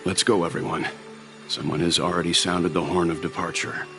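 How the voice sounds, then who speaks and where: A resolute game leader with a deep male voice. He rallies his companions in firm, deliberate phrases while music plays. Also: level tone. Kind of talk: dramatic game voice acting.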